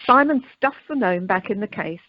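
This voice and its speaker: A woman's voice: mid-range voice, lively intonation, moderate pace, no echo. Woman narrator on a muffled line. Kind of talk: scripted narration of radio comedy. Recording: muffled, dry telephone conference line.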